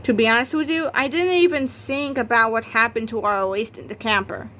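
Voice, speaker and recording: A woman's voice: fairly high pitch, brisk, fairly bright tone, slight background noise. A woman narrating a read-aloud of a children's comic novel, acting out its exclamations and sound effects. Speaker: book narrator.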